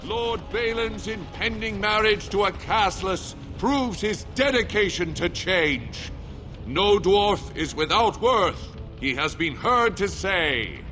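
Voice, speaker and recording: An earnest dwarf town crier from a fantasy game. The voice is high for a man, bright and forceful, proclaiming formally at a slow pace. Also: lively intonation, faint music behind, heavily acted. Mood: proud, proclaiming. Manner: declamatory, formal. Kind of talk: video game character acting.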